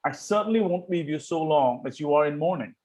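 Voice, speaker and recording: Casting: idle, cheeky young Victorian gentleman played by a man, lightly raised pitch, breezy teasing, amateur comedy read. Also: animated, a little fast, close to an everyday voice. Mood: flippant, teasing.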